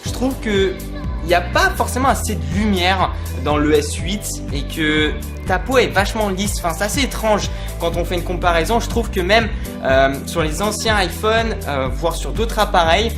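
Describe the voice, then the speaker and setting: A tech reviewer talks to viewers in an informal, conversational way. The voice sits at a middle pitch and explains features casually. Music plays clearly behind it. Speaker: tech reviewer and vlog host. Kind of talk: casual product review to camera. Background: clear background music.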